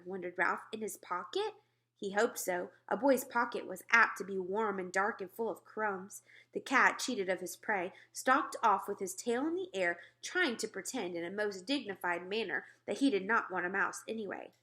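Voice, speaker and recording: A woman narrating a children's book aloud in an expressive storytelling style. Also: mid-range voice, moderate pace.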